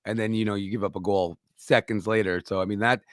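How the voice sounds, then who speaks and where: A man's voice: lowish voice, steady tone, no room sound, clean studio sound. A man hosting a sports talk show, chatting emphatically about hockey. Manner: emphatic, quick to agree. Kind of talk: casual sports chat.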